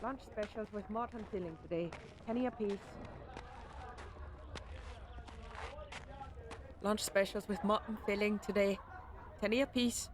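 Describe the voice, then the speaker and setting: A woman's voice: medium pitch, fairly quick, noisy background, close to an everyday voice. A woman playing a friendly, teasing market baker with a folksy, wry manner in a fantasy audio drama. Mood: calling out wares. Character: friendly, teasing female market baker hawking meat pies. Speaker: voice actor. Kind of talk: fantasy audio roleplay acting.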